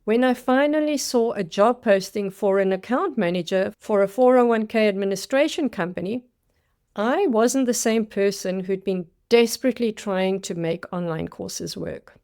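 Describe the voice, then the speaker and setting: A woman's voice: medium pitch, moderate pace, darkish tone, smooth. South African woman, 60s, career coach delivering a dramatic scripted personal story. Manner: dramatic storytelling with acted-out quotes.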